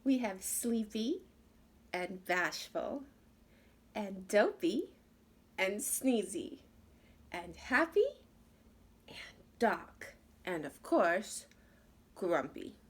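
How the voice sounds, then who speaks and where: A woman's voice: mid-range voice, lively intonation, extremely slow, pauses often, slightly rough. A woman reading a children's story aloud expressively, as if to young listeners. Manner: addresses young listeners with questions. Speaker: storybook reader.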